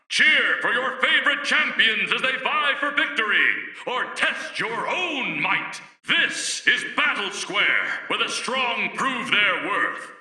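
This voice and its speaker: Bright, echoing high-pitched bombastic arena announcer. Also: male voice, recorded from a distance, exaggerated voice. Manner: grandiose, booming showmanship. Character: bombastic male arena announcer hyping bloody battles. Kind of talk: theatrical announcement.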